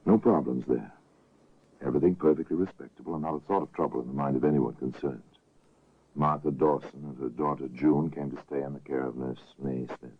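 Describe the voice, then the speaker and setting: A man narrates a 1950s radio mystery slowly and gravely, his voice extremely deep with a husky edge. It comes through a tinny, narrow restored broadcast. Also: fairly even intonation. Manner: slow, grave and theatrical. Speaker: host and narrator.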